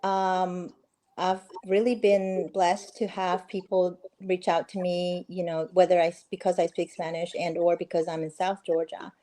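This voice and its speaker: A woman's voice: on the low side, little pitch movement, measured pace, dark tone. Friendly middle-aged woman from Peru. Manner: friendly, many fillers and restarts. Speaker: guest, real estate agent. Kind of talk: casual interview conversation.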